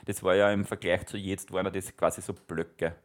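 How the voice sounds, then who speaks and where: A young man co-hosting a casual podcast, chatty and quick to laugh. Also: low-pitched, flat delivery. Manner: chatty, hums cartoon theme tunes, laughs easily. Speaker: podcast co-host. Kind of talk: casual chat.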